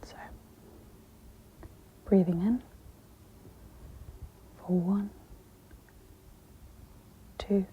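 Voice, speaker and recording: A woman speaks in a whispery, breathy voice, gently guiding a meditation in a soft-spoken ASMR style. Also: mid-range voice, steady tone. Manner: slow, gentle, instructional. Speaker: host of guided meditation ASMR. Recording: dull lo-fi with audible background noise.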